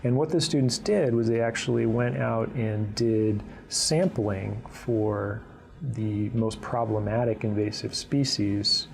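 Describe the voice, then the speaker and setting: A man with a low voice that carries a strong gravelly fry. He talks as a college instructor, explaining things informally and earnestly, as if in an interview. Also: steady tone, slow pace.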